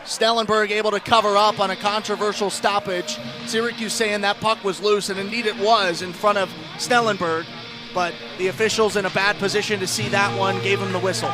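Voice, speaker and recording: A man giving live ice hockey radio commentary as play-by-play announcer, excitable and ready to raise his voice on chances. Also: high-pitched, fairly quick, over music, rough recording.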